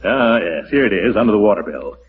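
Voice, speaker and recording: An actor playing a pompous, easily flustered middle-aged uncle in a 1940s American radio comedy, with a theatrical, chuckling delivery. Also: male voice, on the low side, animated, brisk, lightly acted. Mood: pleased, scheming. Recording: dull, noisy old radio recording.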